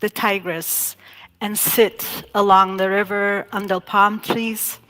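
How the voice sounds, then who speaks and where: A woman from Iraq telling her family's story in a talk, measured and reflective, pausing often. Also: medium pitch, level tone, a bit bright, rough recording. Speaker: speaker giving a talk. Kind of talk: slow personal storytelling talk.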